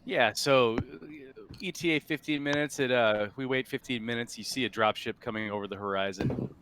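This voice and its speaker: A man narrating a tabletop roleplaying game as game master, casually and in halting, improvised phrases. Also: medium pitch, background noise.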